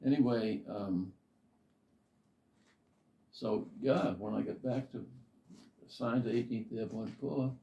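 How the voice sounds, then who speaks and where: An older man with a Boston accent, reminiscing in a life story interview in a halting, meandering way. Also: fairly low pitch, dark tone. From Boston area, United States. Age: older, 70s or above. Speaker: interviewee, retired army officer. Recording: roomy, distant, slightly muffled.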